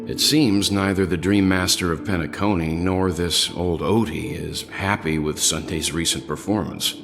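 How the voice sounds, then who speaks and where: An actor playing a calm, courteous, observant investigator in a video game, speaking thoughtfully over music. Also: male voice, low voice, creaky. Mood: pensive. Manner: measured, thoughtful, polite. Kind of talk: English video game voice acting.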